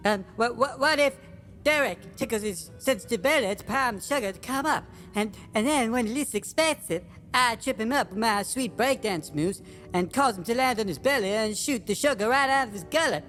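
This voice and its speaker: A bright, forward-sounding little cookie man, voiced by a woman, eager and sweet-natured. He is nervous and polite, stammering through a comic audio drama with music behind. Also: fairly high pitch, lively intonation, normal speed. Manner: stammering, deferential. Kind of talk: comedic audio drama acting.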